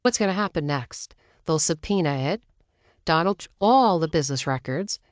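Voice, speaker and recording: A woman's voice: deep voice, lively intonation, normal speed, no echo. An American woman giving opinionated news commentary in an emphatic, argumentative manner, often posing rhetorical questions. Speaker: political commentator.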